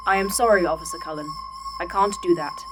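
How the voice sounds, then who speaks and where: A woman's voice: lowish voice, fast, close to an everyday voice. A woman playing an unflinching, procedural artificial intelligence running a facility in a sci-fi audio drama, formal and detached. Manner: formal, rule-bound, detached. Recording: music behind the voice.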